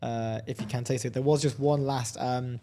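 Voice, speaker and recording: A man co-hosting a casual talk show, animated and laughing often, reading out quotes. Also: medium pitch, moderate pace. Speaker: co-host. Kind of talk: casual chat.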